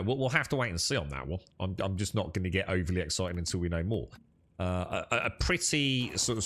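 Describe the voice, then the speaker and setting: A man's voice: medium pitch, a bit bright, slight background noise. A young man streaming games, chatting with friends in a blunt, sweary, dismissive way. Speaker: gaming streamer. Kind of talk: casual gaming stream chat.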